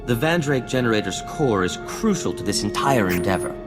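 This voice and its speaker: An actor playing a vain, refined scientist-inventor in a video game cutscene, speaking in a formal, theatrical and florid way. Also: male voice, mid-range voice, animated, fairly quick, lightly acted. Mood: pleased, courteous. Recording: long reverb, music underneath.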